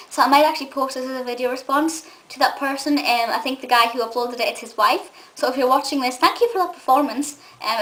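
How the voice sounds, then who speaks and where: A young woman from England chatting casually to camera, enthusiastic and rambling, with a slightly gruff voice. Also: a little high, a bit bright, low-quality recording. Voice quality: says she has a gruff voice. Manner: enthusiastic and nostalgic, rambling with many ums. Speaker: vlogger and film reviewer.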